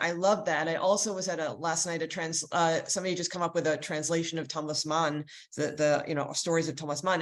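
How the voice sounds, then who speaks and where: A woman in the audience asking a question over a video call, enthusiastic and rambling, with many fillers. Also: sits low. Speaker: audience member. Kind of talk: asking a question in an online session.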